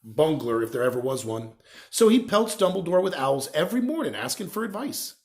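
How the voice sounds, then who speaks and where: A man voicing a giant, gruff but good-natured storybook man with a blunt, rustic, exclamatory way of talking. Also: on the high side, sing-song, fairly quick, darkish tone, voice pushed up. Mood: grumbling. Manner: folksy, exclamatory, drops words.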